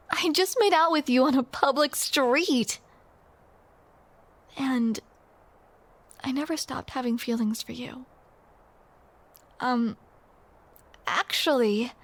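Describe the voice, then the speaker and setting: Slow, high-voiced teasing young woman. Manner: playful, teasing banter. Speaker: audio roleplay performer. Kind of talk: scripted romantic roleplay spoken to the listener.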